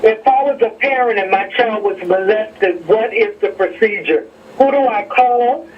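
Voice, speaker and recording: A man with a high voice calls in to a radio show with formal, direct questions. The sound is dull and slightly distant. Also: measured pace. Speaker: caller to a radio talk show. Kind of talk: call-in question. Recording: dull, distant call-in line.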